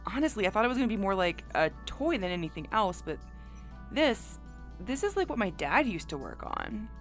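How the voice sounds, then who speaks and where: A woman's voice: mid-range voice, sing-song, moderate pace, soft background music, close to an everyday voice. A woman playing an intelligent, curious, coy engineer in an audio drama, articulate and well-spoken. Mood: impressed.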